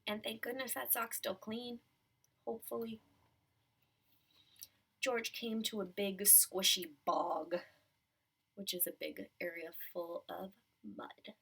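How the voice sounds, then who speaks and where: A woman's voice: mid-range voice, fairly even intonation, slow, vocal fry, long pauses, fairly bright tone, breathy edge. A woman reading a children's story aloud expressively, talking directly to young listeners with explanations and questions. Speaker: storybook reader.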